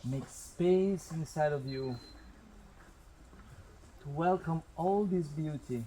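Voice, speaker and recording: Slow-speaking man guiding an outdoor meditation. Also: on the high side, mellow, a little hiss or hum, rough recording. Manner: measured, pausing between short phrases. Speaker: guided meditation leader.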